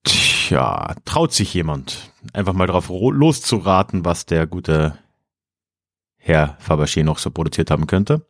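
A man's voice: low-pitched, animated, measured pace, a bit bright, dry recording, clean studio sound. A man hosting a podcast quiz in a casual, chatty way, reading out clues and commenting on the answers. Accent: South Slavic-influenced pronunciation of foreign names. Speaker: quiz host.

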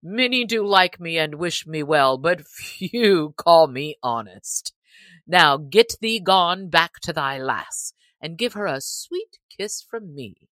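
Woman playing a loud, jolly young outlaw man. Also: deep voice, expressive, slow, dry recording, heavily acted. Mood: hearty, teasing. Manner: merry, lusty street-hawker calls. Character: jolly bold young male outlaw posing as butcher. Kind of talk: storybook character voice in archaic English.

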